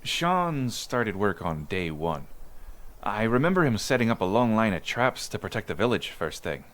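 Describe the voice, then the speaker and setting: A folksy male villager acted by a man reminisces in an ASMR roleplay story. His voice sits fairly low and moves up and down with plenty of animation. He muses conversationally, like someone telling local history. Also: very animated, moderate pace, fairly bright tone. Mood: animated reminiscing. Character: male island villager recalling childhood amid monsters, folksy.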